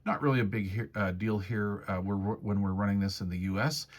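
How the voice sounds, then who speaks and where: A man's voice: on the low side, fairly even intonation, a little fast. A man giving a casual, hands-on product review walkthrough.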